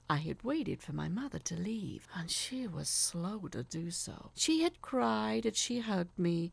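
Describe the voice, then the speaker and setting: A woman narrating a scripted horror story as an awkward, obsessive young male peeping Tom, in a confessional, dramatic manner with breathy drops. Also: mid-range voice, a little mellow, no room sound, exaggerated voice.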